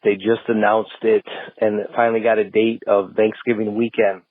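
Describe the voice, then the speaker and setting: A man's voice: on the low side, flat delivery, moderate pace, husky, dry recording, phone-quality sound. A man in his forties, calling in with a casual voicemail over the phone. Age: 40s. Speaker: podcast caller.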